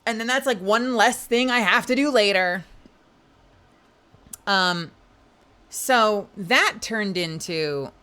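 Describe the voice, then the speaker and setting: A woman's voice: medium pitch, takes it slowly, long pauses, bright, forceful tone, clear, resonant tone. A woman with a nasal voice hosting her live stream, chatting casually and greeting viewers as she reads the chat. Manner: chatty and loose, frequent swearing and laughs. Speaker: livestream host.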